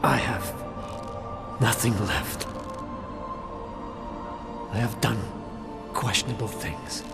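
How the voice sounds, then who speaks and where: Casting: broken fantasy game hero, gravelly, slow, anguished, beneath loud music. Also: male voice, mid-range voice, fairly even intonation. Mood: despairing. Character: broken, remorseful warrior hero, grave and despairing. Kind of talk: dramatic video game acting.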